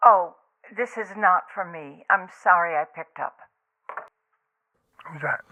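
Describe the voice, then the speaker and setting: Casting: guarded female telephone caller in an audio play, edgy bright tone, lowish pitch, insistent. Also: a little slow, no room sound, lo-fi sound. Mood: apologetic. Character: wary woman caller on a telephone, suspicious. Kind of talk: audio drama acting.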